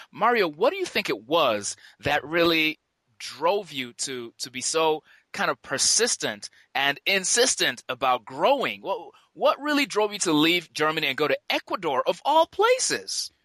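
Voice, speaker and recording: A man's voice: fairly high pitch, big pitch swings, a little slow, pushed and bright, dry recording. A man hosting a podcast interview, upbeat and encouraging as he leads his guest through questions. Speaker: podcast host. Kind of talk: interview host.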